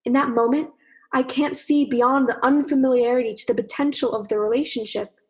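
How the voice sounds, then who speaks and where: A woman's voice: high-pitched, steady tone, brisk, darkish tone. A young woman playing a shy, anxious, lovelorn fish in a radio play, talking in a rambling, self-conscious way. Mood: self-conscious, wistful. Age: young adult.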